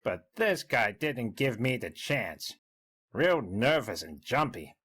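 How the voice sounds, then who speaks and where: A man's voice: medium pitch, sing-song, slow, fairly bright tone, husky, full character voice. A man playing a bemused, gossipy bartender recounting events in a dramatic story reading. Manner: conversational, gossipy. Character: chatty, bemused bartender recounting an odd customer.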